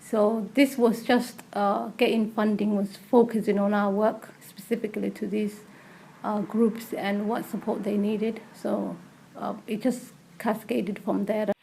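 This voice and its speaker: A woman's voice: mid-range voice, flat delivery, measured pace, vocal fry, dark tone, slight background noise, poor audio. A woman who works as a community health practitioner, talking conversationally in an interview.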